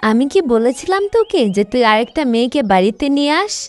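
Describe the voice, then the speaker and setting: A woman voicing an expressive, sharp-tongued village mother and housewife in a cartoon. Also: high-pitched, fast talker. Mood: scolding. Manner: scolding, expressive.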